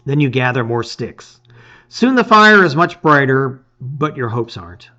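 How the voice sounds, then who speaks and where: A man reading a gamebook aloud in expressive, emphatic storytelling, with casual asides. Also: mid-range voice, measured pace, dull audio.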